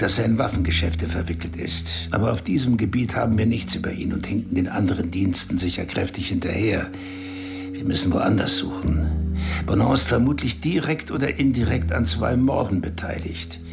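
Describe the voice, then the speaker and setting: A man's voice: on the low side, vocal fry. An actor playing a commanding male intelligence chief in a radio drama, briefing his staff with cool authority.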